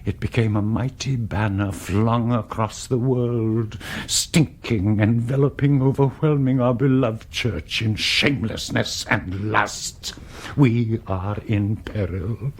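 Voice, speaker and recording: Weary, husky old bishop. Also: male voice, lowish voice, fairly even intonation, heavily acted. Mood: grave, fervent. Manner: slow, portentous, rhetorical. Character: weary ascetic old male bishop, disgusted at mankind. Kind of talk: radio drama monologue.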